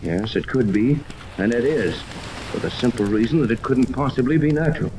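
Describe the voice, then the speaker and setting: An actor playing a commanding, level-headed yacht captain in a 1930s radio serial, speaking with deliberate authority. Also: male voice, medium pitch, lively intonation, darkish tone, close to an everyday voice. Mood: grave, deliberate. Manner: authoritative, deliberate, gives orders. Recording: noisy 1930s radio, rain ambience over it.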